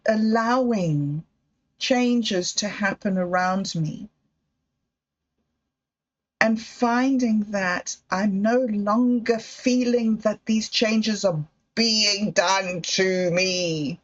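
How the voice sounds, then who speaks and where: A middle-aged woman hosting a livestream, telling personal stories in a slow, thoughtful, reflective way. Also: medium pitch. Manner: slow, thoughtful storytelling with emotional quotes. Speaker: livestream host. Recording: dull lo-fi livestream audio.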